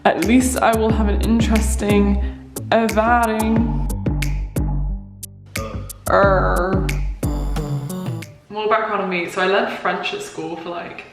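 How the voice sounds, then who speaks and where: A young woman chatters excitedly and laughs often, at an everyday middle pitch. Her voice rings through a very echoey room, and music plays clearly behind her. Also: takes it slowly, long pauses. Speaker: vlogger. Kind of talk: casual vlog chat. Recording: roomy echo with music behind.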